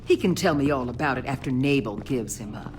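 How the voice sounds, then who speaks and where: A woman's voice: very deep voice, lively intonation, brisk, darkish tone. A woman playing a ruthless government agency chief in a video game, with a hard, blunt, authoritative manner. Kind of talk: dramatic video game acting.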